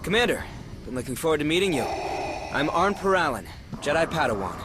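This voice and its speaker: A man's voice: a little high, sing-song, a little fast, background noise. A man voice-acting a young, wry cyborg apprentice in a video game. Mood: eager.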